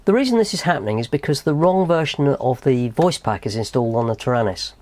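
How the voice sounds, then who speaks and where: A man's voice: medium pitch, lively intonation, fast, a little mellow, no room sound. A man presenting a how-to tutorial in a methodical, explanatory way. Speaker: host of a tutorial channel.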